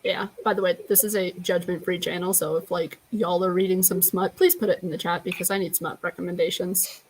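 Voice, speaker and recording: A woman's voice: fairly low pitch, flat delivery, fairly quick, a little hiss or hum, rough recording. A woman in her early twenties hosting a casual group chat over a video call, candid and self-deprecating. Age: 20s. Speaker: channel host.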